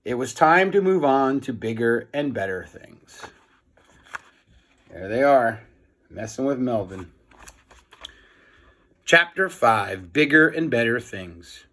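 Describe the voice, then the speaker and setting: A man's voice: mid-range voice, a bit bright. Man reading a children's book aloud. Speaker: storybook reader, teacher. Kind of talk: children's read-aloud narration.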